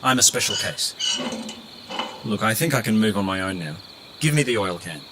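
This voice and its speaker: A man's voice: fairly low pitch, animated, moderate pace, background noise, close to an everyday voice. A man playing a gloomy, curt tin woodman in an audio drama, dry, weary and self-deprecating.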